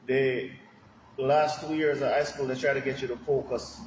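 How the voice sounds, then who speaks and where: A man from Jamaica, answering a podcast interview as a restaurant owner, in a relaxed, rambling way with lots of "you know". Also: medium pitch, moderate pace. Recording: echoey room with long reverb, faint noise.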